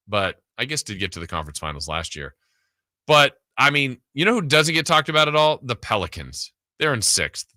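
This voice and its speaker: A man's voice: mid-range voice, sharp tone, no room sound, studio quality. A man hosting a sports radio show, talking casually and conversationally with emphatic points.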